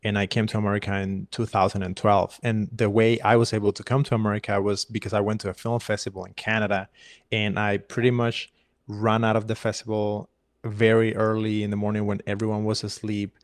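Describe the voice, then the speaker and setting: Cuban man telling his story, low and flat. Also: a little fast, no echo, clean studio sound. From Cuba. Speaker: podcast guest, filmmaker. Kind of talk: casual interview storytelling.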